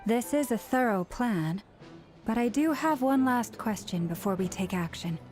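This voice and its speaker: An actress playing a composed leader of an order in a video game, speaking formally and with measured care. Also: female voice, fairly high pitch, animated, music in the background, lightly acted. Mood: composed, serious.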